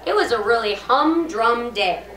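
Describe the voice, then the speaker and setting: A woman's voice: fairly high pitch, animated, a little slow, a bit bright. A woman voicing a nervous, earnest little schoolgirl in a storybook, timidly recounting things. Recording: noisy echoey room, far from mic.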